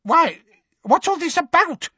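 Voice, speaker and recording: A man's voice: extremely high pitch, sing-song, measured pace, bright, forceful tone, no echo, highs cut off, voice pushed up, heavily acted. A man voicing a flustered older government minister in an audiobook, stammering, blustering and gibbering with panic. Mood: astonished.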